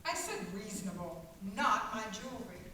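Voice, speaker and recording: A woman's voice: on the high side, very animated, normal speed, bright, forceful tone. A woman playing a prim, firm, well-to-do lady in a radio play, polite and no-nonsense. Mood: firm, indignant. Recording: distant mic, hissy echoey room.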